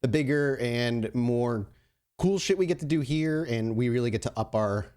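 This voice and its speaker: Male podcast regular, medium pitch, conversational and informal. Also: moderate pace, darkish tone, clean tone. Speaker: podcast cast member. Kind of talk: casual chat with promotional asides.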